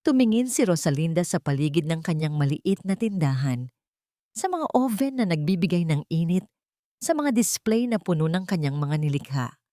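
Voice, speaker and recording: A woman storyteller with a fairly low voice, recorded dry and close. She reads a scripted romantic drama with measured, sentimental phrasing, in the manner of an audio storybook. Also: very animated, mellow, no room sound. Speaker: storyteller, narrator.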